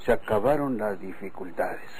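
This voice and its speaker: An actor playing an easygoing, trusting country husband in a Mexican radio drama, with a creaky voice, colloquial manner and Mexican accent. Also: male voice, lowish voice, normal speed, darkish tone, natural voice, rough recording. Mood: complacent, reassuring. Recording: old radio recording, faint noise.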